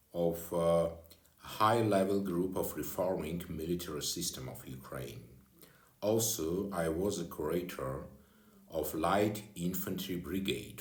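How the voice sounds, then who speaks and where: Slow, deep-voiced older man with Armenian accent. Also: reverberant. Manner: slow, halting non-native English with pauses and fillers. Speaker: retired official addressing followers. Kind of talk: direct address to camera.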